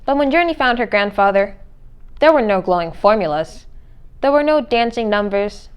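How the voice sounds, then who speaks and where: A woman's voice: mid-range voice, animated, moderate pace, clear, resonant tone, lo-fi sound. A woman reading a children's storybook aloud as narrator. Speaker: read-aloud reader.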